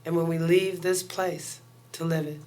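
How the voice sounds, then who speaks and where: A woman guest pastor with a loud voice giving a chatty sermon and prayer to a congregation, laughing often between lines. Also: low voice, steady tone, normal speed. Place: house of worship.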